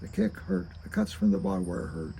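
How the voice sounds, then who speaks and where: Gravelly elderly man telling his story. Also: low-pitched, wildly swooping pitch, normal speed, mellow, a little hiss or hum. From rural Kentucky, United States. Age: 80s. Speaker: storyteller reading own memoir. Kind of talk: reading a childhood memoir aloud.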